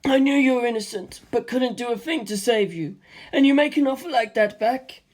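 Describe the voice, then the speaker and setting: A reader voicing an earnest, emotional young male pilot who thanks a friend, in a slightly raised, softer put-on voice. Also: medium pitch, normal speed, pitched above the performer's own voice, lightly acted. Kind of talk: audiobook reading.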